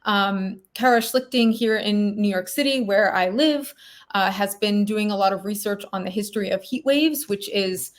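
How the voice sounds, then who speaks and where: A woman's voice: medium pitch, normal speed. Thoughtful woman in a podcast interview. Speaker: interview guest, scholar.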